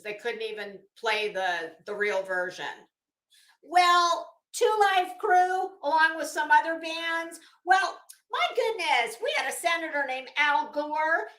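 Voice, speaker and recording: A woman's voice: fairly high pitch, bright, forceful tone. A middle-aged woman giving casual livestream commentary in a brash, opinionated, sweary way, with dramatic emphasis. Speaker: livestream host. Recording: echoey room.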